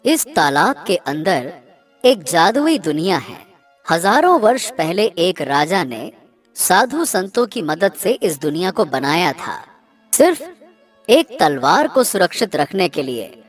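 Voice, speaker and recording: Lady doing a cursed male swan for a kids cartoon, solemn n bossy, really drawn-out, swingy delivery, music under. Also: fairly low pitch, big pitch swings. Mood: solemn, instructing. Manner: slow, deliberate, dramatic. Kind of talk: animated children's story dialogue.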